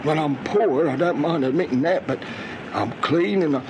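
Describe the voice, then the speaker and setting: An actor playing an elderly, poor, humble man in a radio drama, rambling and halting in rustic ungrammatical speech. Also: fairly high pitch, close to an everyday voice. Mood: rambling, earnest. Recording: noisy old radio drama recording.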